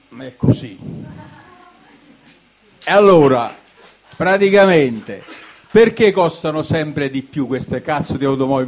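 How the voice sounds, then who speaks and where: A man with a southern Italian accent ranting informally in a colloquial, rambling, ironic way, slipping into dialect and asking rhetorical questions. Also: medium pitch. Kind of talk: informal spoken rant, half in dialect.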